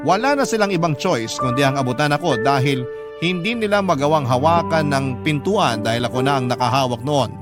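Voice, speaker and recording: Male Filipino narrator for scripted radio drama, first-person storytelling, mid-range voice over clear music. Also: mid-range voice, expressive, fairly quick. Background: background music.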